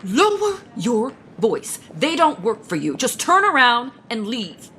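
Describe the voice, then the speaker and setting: A woman's voice: a little high, sing-song, unhurried, pushed and bright, slight background noise, full character voice. An actress playing a haughty, middle-aged mother in an audio soap opera, speaking with controlled, cutting disdain. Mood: icy contempt. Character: haughty, sanctimonious older mother guarding her grown son. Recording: music behind the voice.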